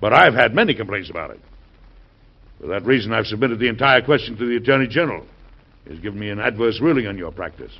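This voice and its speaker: An actor playing a genial middle-aged American president and husband in an old-time radio drama, speaking formally with a hearty, deliberate manner. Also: male voice, on the low side, expressive, gravelly, breathy edge, exaggerated voice. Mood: firm, courteous. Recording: dull, noisy old radio recording.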